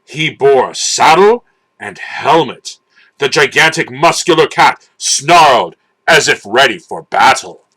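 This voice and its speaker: A man giving a dramatic, theatrical and deliberate scripted narration of a children's storybook. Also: medium pitch, animated, pushed and bright, slightly rough, rough recording.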